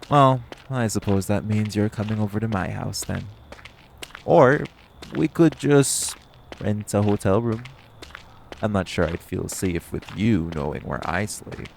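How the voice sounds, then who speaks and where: A man's voice: fairly low pitch, gravelly, slight background noise. A man playing a cocky, teasing vampire in an audio roleplay, bantering with a hunter in a smug, sardonic, flirty way and swearing freely. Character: cocky, teasing, hungry male vampire carrying his hunter rival. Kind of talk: audio roleplay acting, addressed to the listener.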